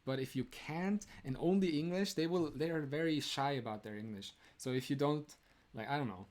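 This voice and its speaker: A man's voice: on the high side, moderate pace, darkish tone. A young man from Romania, the host of a live stream, chatting casually with viewers, laughing often and filling his talk with "like". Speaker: streamer answering chat.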